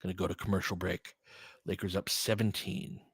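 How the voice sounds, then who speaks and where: A man doing casual live basketball commentary and analysis, talking informally and thinking out loud as he goes. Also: low voice, flat delivery, brisk, slightly rough, no echo. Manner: conversational, informal, thinking aloud. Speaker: basketball play-by-play streamer and analyst.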